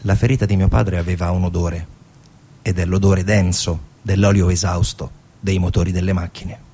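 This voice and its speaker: A man delivering a theatrical narrative monologue as a stage narrator, with deliberate, rhetorical phrasing. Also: sits low, little pitch movement, warm tone, slight background noise.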